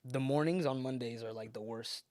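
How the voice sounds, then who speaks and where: A man's voice: medium pitch, normal speed, darkish tone, no room sound. Young guy hosting his podcast, upbeat, laughs a lot, dry close sound. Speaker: podcast host. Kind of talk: casual podcast chat.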